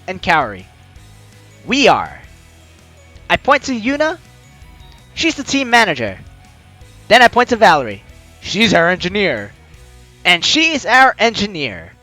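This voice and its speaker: A man playing a visual novel, reading the text aloud with excitable, joking reactions and the odd stumble, over music. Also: sits high. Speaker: let's player. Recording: music behind the voice.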